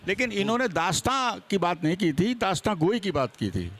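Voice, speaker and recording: A man's voice: high voice, very animated, a little fast, fairly bright tone. A man speaking as a seminar panelist, arguing his opinions emphatically. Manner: emphatic, argumentative. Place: book fair seminar with a live panel.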